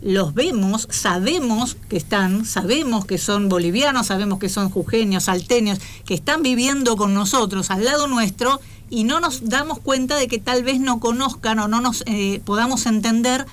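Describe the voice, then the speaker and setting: A woman's voice: a little high, moderate pace, few pauses, a little hiss or hum. A woman with an Argentine accent co-hosting a radio show in casual conversation, chatty and often restarting her phrases. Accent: Argentine Spanish. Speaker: radio co-host.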